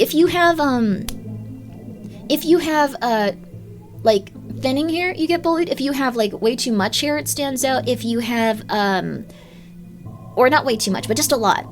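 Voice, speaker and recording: A woman's voice: unhurried, faint music behind. A young woman streaming live, chatting casually with viewers and reading their comments, rambling with many filler words. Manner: rambling, lots of "like" fillers, laughs often, swings up and down in pitch.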